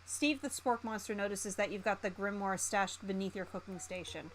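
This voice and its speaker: A woman's voice: medium pitch, moderate pace, soft background music. A woman streamer reading a visual novel game aloud in a theatrical, playful narrating style.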